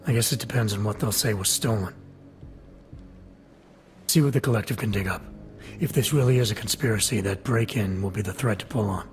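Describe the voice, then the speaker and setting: An actor playing a guarded, skeptical, no-nonsense agent in a video game cutscene, speaking with restraint. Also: male voice, fairly low pitch, a little fast, long pauses, faint music behind, natural voice. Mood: guarded, determined.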